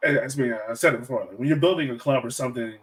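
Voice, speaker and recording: A man's voice: mid-range voice, rapid-fire. An American man interviewing a guest as podcast host in informal, slangy talk with plenty of "man" and "yo". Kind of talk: casual interview chat.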